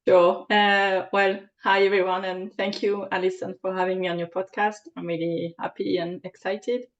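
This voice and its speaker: A woman's voice: medium pitch, level tone, moderate pace, dull audio. A French woman with a French accent, answering interview questions in a hesitant way, with many fillers. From France. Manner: hesitant, many ums and uhs. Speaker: podcast guest, aromatherapist.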